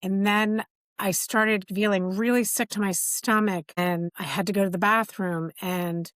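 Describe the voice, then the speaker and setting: A woman's voice: mid-range voice, fairly even intonation, unhurried, fairly bright tone, no room sound, studio quality. A middle-aged woman hosting a podcast, candidly telling a personal story in casual conversation and acting out quoted dialogue. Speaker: podcast host.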